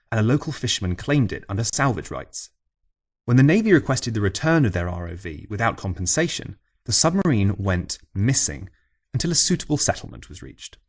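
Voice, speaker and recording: A man reading scripted local history as an audiobook narrator, with a wry storytelling manner. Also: lowish voice.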